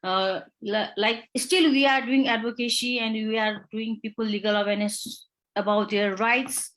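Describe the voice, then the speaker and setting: Halting woman from Nepal, lawyer interviewee. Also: on the high side, fairly even intonation, fairly bright tone, rough recording. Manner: halting, many fillers and restarts. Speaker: human rights lawyer. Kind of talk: interview answers.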